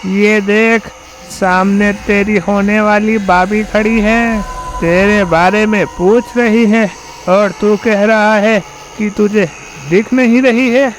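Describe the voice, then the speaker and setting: A man playing a smug, girl-crazy young fellow with a dreamy, boastful manner in an animated horror story. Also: high voice, takes it slowly, slight background noise, rough recording.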